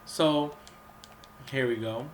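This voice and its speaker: A man who is under the weather talks through a video game in a loose, rambling way, coughing and clearing his throat between phrases. His voice has an average pitch and sounds a little off from his cold. A faint hiss of noise sits under the recording. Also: slow pace. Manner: rambling, with many fillers and restarts. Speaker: gaming channel host. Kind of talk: casual gameplay walkthrough commentary.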